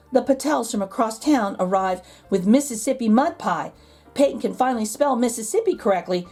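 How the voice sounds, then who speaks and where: A woman reading a children's picture book aloud in expressive narration, with deliberate dramatic emphasis. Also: a little high, husky. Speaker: children's storybook reader. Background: music.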